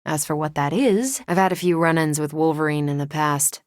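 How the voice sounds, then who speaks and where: A woman's voice: deep voice, brisk, no room sound, close to an everyday voice. A woman voicing a confident, wry American superhero and former pilot in a video game, with dry, self-assured delivery. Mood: casual, confident.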